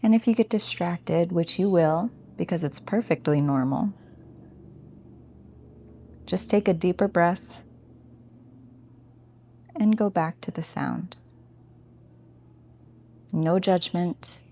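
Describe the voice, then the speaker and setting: A woman teaching a guided meditation in a gentle, informal, encouraging way. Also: on the low side, takes it slowly, pauses often.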